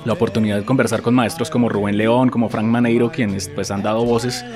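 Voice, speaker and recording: A man's voice: mid-range voice, level tone, brisk, music in the background. A man who hosts a radio show, asking long, winding interview questions. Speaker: radio host.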